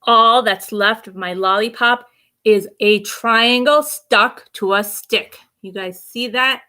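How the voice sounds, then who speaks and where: A woman with a high, bright voice reads a storybook aloud for children. She takes her time, telling it expressively and addressing the young listeners directly as a story time host. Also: takes it slowly.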